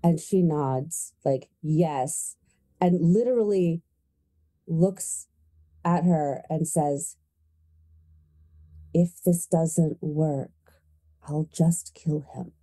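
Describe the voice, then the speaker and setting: A woman's voice: deep voice, extremely slow, long pauses, dark tone. A woman playing a tabletop roleplaying game, casually describing what her character does.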